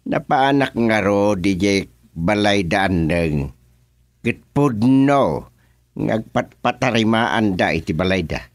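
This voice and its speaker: A man playing an excitable, comic village chairman in a radio drama, exclaiming with drawn-out interjections. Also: fairly low pitch, no room sound. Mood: serious, indignant. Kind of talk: comedic radio drama acting.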